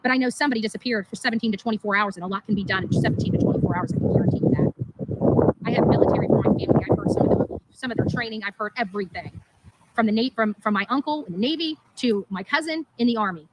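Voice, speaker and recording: Woman on a livestream ranting quick and indignant, keeps repeating herself, noisy audio. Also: fairly high pitch. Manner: emphatic, repetitive, indignant. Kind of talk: live stream monologue, venting.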